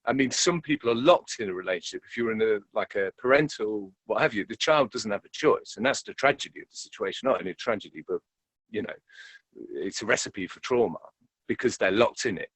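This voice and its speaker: A man's voice: fairly low pitch, expressive, fast, a bit bright, husky, dry recording, poor audio. A man reflecting in an interview, speaking hesitantly and repeating words as he thinks aloud. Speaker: interviewed actor.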